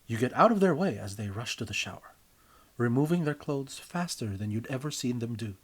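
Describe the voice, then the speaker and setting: A man narrating a scripted story in a theatrical, emphatic way, with deliberate phrasing and a husky voice. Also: medium pitch, expressive. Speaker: writer and reader of own story. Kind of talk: dramatic scripted story narration in second person.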